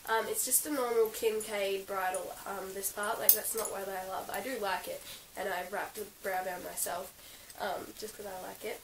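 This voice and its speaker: A young Australian woman with a croaky, under-the-weather voice chats through her favourite gear, full of giggles, ums and likes. She sits a little back from the mic in an echoey room, with a faint hiss running underneath. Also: mid-range voice, steady tone, moderate pace, hardly any pauses. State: croaky from being sick. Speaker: equestrian vlogger. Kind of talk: casual show-and-tell vlog. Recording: roomy, slightly distant with hiss.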